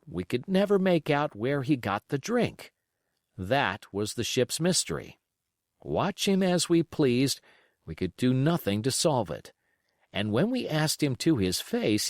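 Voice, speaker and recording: A man reading a classic adventure novel aloud as an audiobook narrator, in an expressive storytelling manner. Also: medium pitch, dry recording, studio quality. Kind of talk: scripted literary narration.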